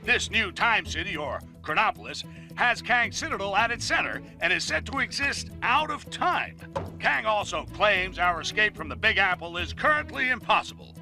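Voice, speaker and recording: A man's voice: high-pitched, very animated, normal speed, pushed and bright, soft background music, full character voice. A man playing a brash, self-important newsman delivering a punchy, blustering bulletin in a video game.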